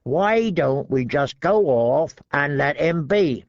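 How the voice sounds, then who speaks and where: A man voicing a huge, slow-witted, bearded soldier in an audiobook, speaking in a simple, plodding way. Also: on the high side, lively intonation, dry recording, highs cut off.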